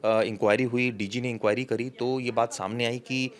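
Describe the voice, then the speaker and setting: A male news reporter talks through a case in an unscripted discussion. He explains point by point in halting phrases, at an average pitch, dropping English legal terms into his sentences. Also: steady tone.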